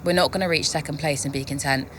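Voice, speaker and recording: A woman acts a young, competitive racing driver in a game cutscene. Her voice is slightly low, assured and earnest, set against clear vehicle noise. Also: steady tone, fast, lightly acted. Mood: determined. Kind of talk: acted media interview.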